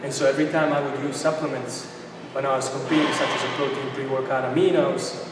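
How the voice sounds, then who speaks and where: A middle-aged man from Bosnia, a native Bosnian speaker with a Bosnian accent, tells stories in an interview at a medium pitch. The sound is very reverberant, with clear noise around him. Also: fairly even intonation, quick pace. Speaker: interview guest, supplement company founder. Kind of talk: conversational interview storytelling. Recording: echoey hall, distant mic, noisy ambience.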